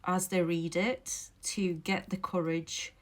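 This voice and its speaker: A middle-aged woman from Latvia, whose first language is Latvian, speaks English with a Latvian accent touched by Derbyshire English. She talks casually from home and pauses often to choose her words. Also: lowish voice, fairly even intonation. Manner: thoughtful, many pauses and fillers, careful phrasing. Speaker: memoir author and vlogger. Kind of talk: casual reflective monologue to camera. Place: home, indoors.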